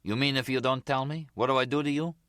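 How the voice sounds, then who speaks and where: A man's voice: mid-range voice, fairly even intonation, fast, a bit bright, no room sound, clean studio sound, pitched above the performer's own voice, close to an everyday voice. A man voicing a menacing, boastful immigrant mob hitman, blunt and cocky in broken English, in an audiobook crime story. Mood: scornful.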